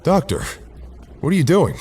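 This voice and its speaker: An actor playing a composed male soldier leader in an audio drama, speaking in a calm, commanding way. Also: a little high, normal speed, very noisy.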